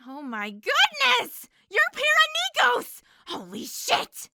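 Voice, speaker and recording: A woman playing an excitable, impulsive, cheeky teenage girl huntress in an audio drama, exclaiming and bubbly. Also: very high voice, slow pace, no room sound, studio quality, full character voice. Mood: excited, playful. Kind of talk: fan audio drama acting.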